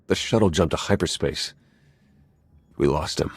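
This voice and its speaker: An actor playing a pragmatic, level-headed military strategist in a video game, measured and matter-of-fact. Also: male voice, low-pitched, breathy edge, dry recording. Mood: sober.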